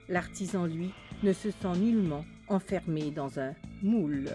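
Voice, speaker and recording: A woman giving measured, polished scripted narration for a documentary. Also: mid-range voice, dark tone. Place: voice-over studio. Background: music.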